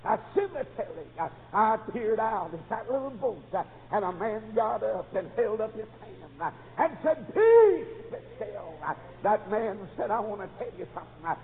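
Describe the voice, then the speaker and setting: High-pitched revival preacher in a hall. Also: male voice, normal speed, gravelly, hardly any pauses. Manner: punctuates nearly every phrase with "uh"; exclamatory, storytelling delivery. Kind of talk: fervent camp meeting revival sermon with acted dialogue. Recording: dull lo-fi tape in reverberant hall, faint noise.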